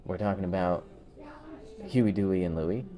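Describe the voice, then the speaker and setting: Very deep-voiced man chatting emphatically. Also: moderate pace, mellow. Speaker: podcast co-host. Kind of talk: casual chat reviewing holiday cartoons.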